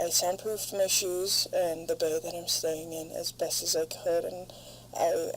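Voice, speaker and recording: A woman playing a frightened, foul-mouthed stranded spaceship crew member, recording halting, weary log entries in an audio drama. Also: on the low side, slight background noise, low-quality recording. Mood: wary, anxious.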